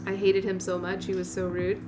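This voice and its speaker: A woman's voice: medium pitch, monotone, a little fast, noisy background. A woman in her late thirties chatting casually and informally as a livestream host, in a rambling, exclamatory way. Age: late 30s. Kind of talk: casual livestream chat responding to viewers.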